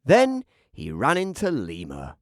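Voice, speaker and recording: A man narrating a children's picture book as an animated bedtime read-aloud. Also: medium pitch, a little slow, no room sound, studio quality. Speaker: storybook narrator.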